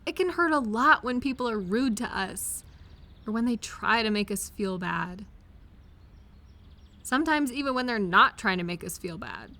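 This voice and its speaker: A woman playing an affectionate, reassuring friend or partner in an intimate comfort audio roleplay, speaking gently and directly to the listener. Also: fairly high pitch, animated, moderate pace. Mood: gentle, reassuring.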